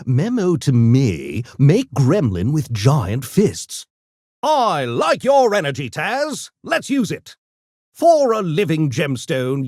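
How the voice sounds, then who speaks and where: An actor voicing a smug, erudite genius gremlin for a video game, with pompous, mock-genteel, theatrical diction that tips into gleeful mania. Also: male voice, fairly high pitch, a little mellow, dry recording, studio quality, exaggerated voice. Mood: haughty, amused. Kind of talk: video game character voice lines.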